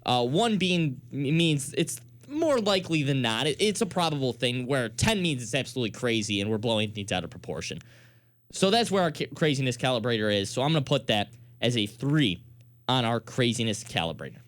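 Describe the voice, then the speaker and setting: A man's voice: medium pitch, sing-song, a little fast. An American man hosting a sports radio show, debating emphatically and laying out his case point by point.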